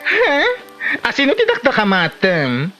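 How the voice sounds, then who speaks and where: A woman's voice: medium pitch, wildly swooping pitch, a little slow, pushed and bright, soft background music, full character voice. A woman acting a dismissive, grumbling village woman in an Ilocano comedy drama, with a Filipino Ilocano accent.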